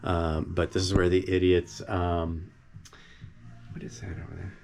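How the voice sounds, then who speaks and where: A man with a deep voice gives a casual, rambling update from his forested property, full of fillers and restarts. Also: little pitch movement, a little slow, creaky, music in the background. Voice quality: deep voice that carries. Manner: rambling, many fillers and restarts, chuckles often. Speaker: vlogger giving a property update. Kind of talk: casual rambling talk to camera. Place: home property in the Pacific Northwest forest.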